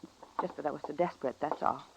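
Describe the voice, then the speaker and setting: A woman's voice: deep voice, fast talker, creaky, background noise. A woman playing a desperate, pleading American frontier woman in a radio western, emotional in her delivery. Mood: apologetic, pleading. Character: desperate, pleading saloon woman of the Old West. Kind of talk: 1950s radio western acting.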